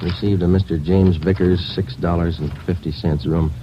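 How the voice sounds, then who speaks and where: An actor playing a terse, businesslike police detective sergeant in a 1940s radio drama, clipped and deadpan. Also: male voice, deep voice, moderate pace, noisy background, natural voice. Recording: dull vintage radio recording with music.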